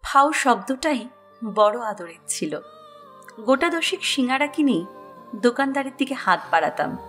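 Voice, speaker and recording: A woman reads a story in a high voice, with expressive scripted narration. Her pitch moves in lively swings as she tells it. Music plays underneath. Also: unhurried.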